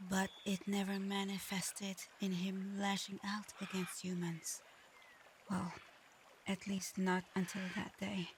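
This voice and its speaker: A woman playing a gentle, remorseful shapeshifting dragon in human form for an ASMR roleplay, speaking softly and haltingly, often close to a whisper. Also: mid-range voice, slight background noise, natural voice. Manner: soft, breathy, often near-whispered, halting.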